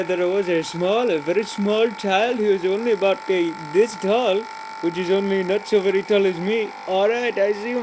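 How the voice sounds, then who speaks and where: A cheerful, rambling joke-teller played by a man, stumbling through fillers and restarts in broken grammar. The voice sits high. Loud traffic noise runs behind the recording. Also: lightly acted. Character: rambling, cheerful adult man telling pointless jokes. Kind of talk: comic character monologue.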